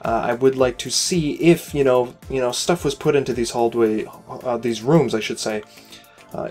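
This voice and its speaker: A man's voice: medium pitch, a little mellow. A tired man who is losing his voice, giving casual, rambling gameplay commentary as he critiques a player-made space station, critical but encouraging. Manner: rambling, with frequent "you know" and "uh" fillers. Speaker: game station reviewer.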